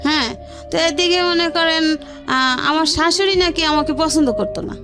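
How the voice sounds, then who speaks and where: A woman's voice: high-pitched, moderate pace, music in the background. A woman with a Bangladeshi accent telling her life story as an interview guest, in colloquial words and often relaying what others said. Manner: colloquial, narrates with reported dialogue.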